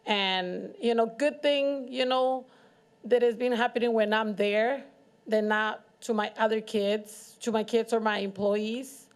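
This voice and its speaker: A woman with a Mexican accent sharing her own experiences at a community forum, haltingly and in search of words. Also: fairly high pitch, fairly even intonation. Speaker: community panelist, business owner. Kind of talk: personal testimony at a community forum.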